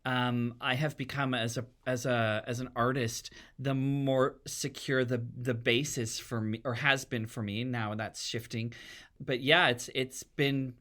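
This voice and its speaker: A man's voice: mid-range voice, steady tone, a little slow, fairly bright tone. A middle-aged man, talking as a theatre artist guest in a casual podcast chat.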